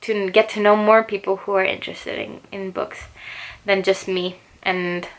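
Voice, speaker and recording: A young adult woman whose first language is Spanish, chatting casually about books on her own channel, relaxed, with frequent restarts. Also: mid-range voice, low-quality recording. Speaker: booktuber. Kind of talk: casual chat to camera. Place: home, indoors.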